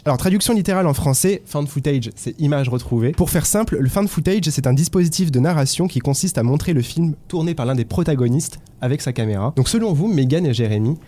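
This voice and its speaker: A man hosting a film podcast, introducing topics and leading the talk with some hesitation. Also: a little high. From France. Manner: lively, hesitant at times. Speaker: podcast host.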